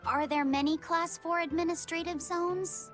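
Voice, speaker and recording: A gentle young boy with a very high voice. He sounds curious and earnest, a video game character, with soft music behind. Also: fairly even intonation, normal speed. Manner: earnest, questioning.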